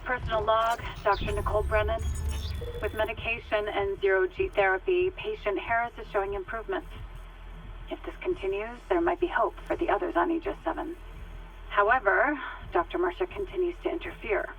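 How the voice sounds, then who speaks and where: An actress playing a resolute doctor dictating a personal log in a dramatic game. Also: female voice, mid-range voice, vocal fry, close to an everyday voice. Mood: clinical. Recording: muffled distant audio log.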